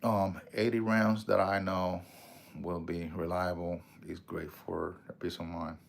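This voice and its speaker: A man's voice: deep voice, little pitch movement, measured pace, gravelly, a little hiss or hum. A man with a strong Puerto Rican accent hosting a casual livestream, rambling, swearing freely and answering viewer comments. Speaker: livestream host.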